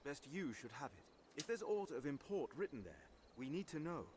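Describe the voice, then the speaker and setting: An actor playing a solemn, formal officer handing out quests in a fantasy video game, speaking in measured archaic phrases. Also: male voice, a little high, very animated, background noise. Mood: solemn, businesslike. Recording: loud game music behind.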